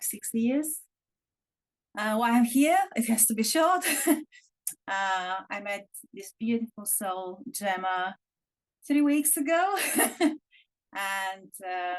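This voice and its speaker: Woman from Bulgaria, English, gentle, enthusiastic, mid-pitched, video call. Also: low-quality recording. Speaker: English teacher and mindfulness coach. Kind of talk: casual self-introduction.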